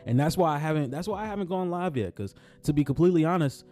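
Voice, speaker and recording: A man talking casually about basketball in his own vlog, his voice raspy from just waking up, laid-back and repeating himself as he speaks from the heart. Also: a little high, animated, fast, a little mellow, soft background music. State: raspy morning voice. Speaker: sports vlogger. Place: on his way to work.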